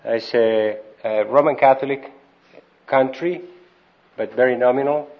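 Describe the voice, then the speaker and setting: A man raised in Colombia speaking at a conference, plain and unhurried with dry humor. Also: medium pitch. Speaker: missionary speaker. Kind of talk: conference talk. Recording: dull lo-fi recording.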